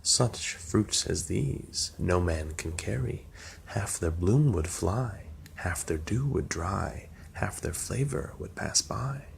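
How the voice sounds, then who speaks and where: A man's voice: deep voice, lively intonation, slow pace, exaggerated voice. A man voicing sly goblin fruit-sellers in coaxing verse from a storybook poem. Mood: inviting. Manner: coaxing, verse-like sales patter. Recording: clear background noise.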